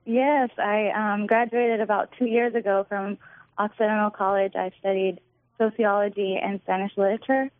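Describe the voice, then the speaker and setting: A woman's voice: mid-range voice, steady tone, moderate pace, no room sound. A young woman in her twenties from Northern California, answering interview questions in an earnest, friendly way. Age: 20s. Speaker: guest. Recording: tinny phone line.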